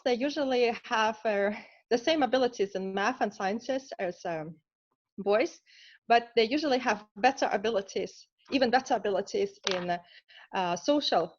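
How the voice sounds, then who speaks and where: A woman from Lithuania with a Lithuanian accent, a scientist answering interview questions earnestly, with many pauses and fillers. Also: medium pitch, highs cut off. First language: Russian. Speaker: scientist guest.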